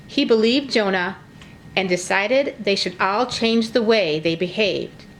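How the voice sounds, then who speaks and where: A woman narrating a scripted story for children in a simple, clearly phrased read-aloud way. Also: a little high, slight background noise, rough recording. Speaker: narrator of a children's church puppet show.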